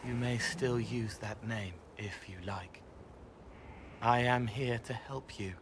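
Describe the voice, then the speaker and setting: An actor voicing a gentle, haunted young spirit man in a video game, hushed and halting. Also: medium pitch, steady tone. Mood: gentle, calm. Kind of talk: video game character acting. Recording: clearly noisy ambient background.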